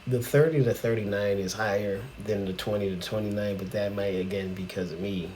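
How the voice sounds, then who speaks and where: A man's voice: on the low side, steady tone, fairly quick, darkish tone, a little hiss or hum, low-quality recording. A man, hosting a casual reaction video, chatty and laughing, often exclaiming in disbelief. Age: 40s.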